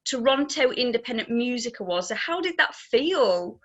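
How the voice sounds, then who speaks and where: A woman's voice: on the high side, sing-song, moderate pace, lo-fi sound. A woman with a Yorkshire accent, chatty and effusive, conducting a conversational music interview.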